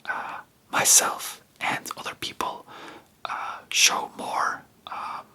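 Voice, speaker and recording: A man's voice: slow, sharp tone. Man whispering rambling ASMR chat. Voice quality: breathy unvoiced whisper. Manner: rambling, hesitant, spontaneous. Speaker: ASMR video host.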